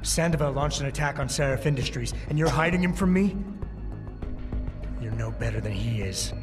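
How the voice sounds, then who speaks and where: A man's voice: medium pitch, moderate pace, close to an everyday voice. An actor playing a hard, distrustful male operative in a video game, blunt, terse and accusing. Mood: angry, challenging. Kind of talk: dramatic video game acting. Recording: long echoing hall, music behind.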